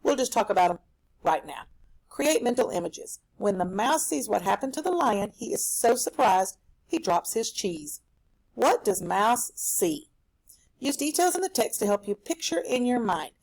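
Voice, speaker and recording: A woman teaching a recorded reading lesson for children at home, explaining a story in an animated, explanatory way. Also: medium pitch, moderate pace, breathy edge, low-quality recording.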